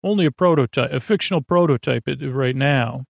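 A man hosting a podcast in a whimsical, meandering monologue, halting and often repeating or correcting himself, with a creaky, gravelly voice. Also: mid-range voice, no room sound, studio quality. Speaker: podcast host. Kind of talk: rambling, whimsical bedtime monologue.

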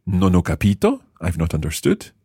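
A man's voice: deep voice, husky, no room sound, clean studio sound. A man hosting a language lesson, explaining phrases patiently and quizzing his co-hosts. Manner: patient, lively, repeats phrases slowly. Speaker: language teacher and host.

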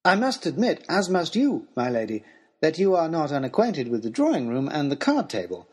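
A man playing an earnest, lovestruck gentleman adventurer who tells his journey in formal, old-fashioned, expressive storytelling. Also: a little high, normal speed, husky, heavily acted. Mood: indignant, flustered. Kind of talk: dramatised first-person storytelling, as a letter to a lady.

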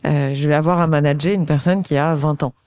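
A woman's voice: sits low, fairly even intonation, brisk, darkish tone, dry recording, studio quality. A woman in her thirties interviewing a guest, talking thoughtfully and searching for her words. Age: 30s. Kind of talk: conversational interview.

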